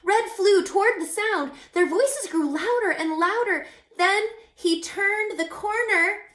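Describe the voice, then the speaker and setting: A woman teacher reading a children's storybook aloud in an expressive, teacherly way, pausing to put questions to young listeners. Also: very high-pitched. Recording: echoey, roomy sound.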